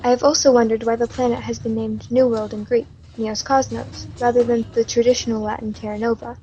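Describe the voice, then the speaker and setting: A woman's voice: fairly high pitch, flat delivery, a little fast, warm tone, close to an everyday voice. Woman acting bookish young colonist, slightly muffled. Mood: curious. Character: bookish young colonist, curious and mildly scolding. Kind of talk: student sci-fi radio play acting.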